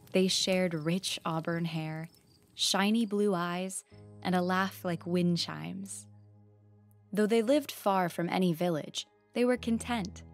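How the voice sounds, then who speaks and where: A woman storyteller reading a scripted fairy tale with a horror bent. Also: fairly low pitch.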